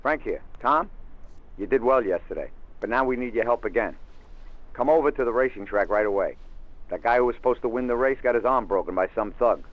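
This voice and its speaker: A man's voice: mid-range voice, a little fast. A man playing an insistent, businesslike mob lieutenant on the telephone in a video game. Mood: urgent. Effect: telephone filter. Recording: band-limited telephone line.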